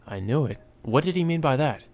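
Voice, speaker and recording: A man's voice: a little high, animated, a little fast, a little mellow, faint music behind, pitched above the performer's own voice. A man voicing an anxious, guarded teenage schoolgirl in a visual novel. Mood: uneasy, subdued. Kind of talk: character reading in a visual novel.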